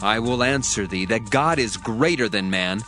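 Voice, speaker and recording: A man's voice: fairly low pitch, animated, moderate pace, lightly acted. A man playing Elihu, young and indignant. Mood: earnest. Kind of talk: dramatized scripture reading. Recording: dry voice, faint music underneath.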